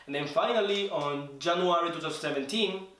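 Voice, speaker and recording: A man's voice: fairly high pitch, fairly bright tone. Venezuelan man, strong Spanish accent. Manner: earnest, halting, repeats words while searching for English. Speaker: trading student guest. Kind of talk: casual interview storytelling. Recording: echoey room, voice from a distance.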